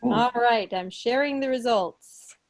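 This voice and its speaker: Slow-paced American woman, playful quiz host. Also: fairly high pitch, rough recording. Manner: playful, mock-schoolteacher. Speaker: meeting host, quizmaster. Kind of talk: casual, playful quiz presenting. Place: living room at home, on a video call. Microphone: computer mic on a video call.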